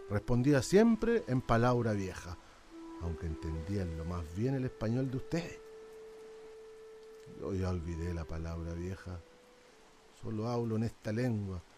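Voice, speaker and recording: A man playing a humble old islander, the last of his people, in a radio drama. His voice is low and weary, with a rustic southern Chilean accent and a deferential, halting way of telling things. Music plays clearly behind him. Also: darkish tone, natural voice.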